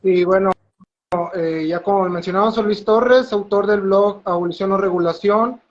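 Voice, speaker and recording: A man's voice: sits high, moderate pace, highs cut off. A man who writes a blog, introducing himself hesitantly with fillers on an online video call. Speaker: blogger and activist. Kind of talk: casual online talk.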